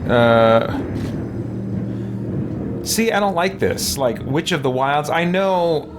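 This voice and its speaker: A man's voice: on the high side, expressive, slow, background noise. A man giving casual gameplay commentary as a host, thinking aloud. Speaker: let's play host.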